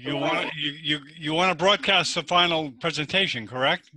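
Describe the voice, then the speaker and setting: A man hosting an online neurosurgery meeting, chatty and quick to repeat short words. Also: a little high, a crowd in the background. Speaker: host of a neurosurgery webinar. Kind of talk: casual chat.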